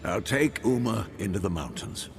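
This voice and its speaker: An actor playing a gruff, stubborn old monster hunter and mentor in a video game, crotchety and authoritative. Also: male voice, fairly low pitch, lively intonation, normal speed. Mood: stubborn, defiant. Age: older. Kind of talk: cinematic game voice acting.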